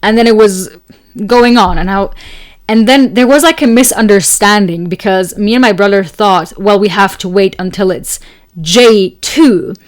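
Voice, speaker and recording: Chatty young woman, slightly raspy voice. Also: mid-range voice, normal speed. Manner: chatty, rambling, many fillers and laughs. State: tired voice from long talking. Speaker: astrology podcast host. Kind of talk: casual solo talk and storytelling.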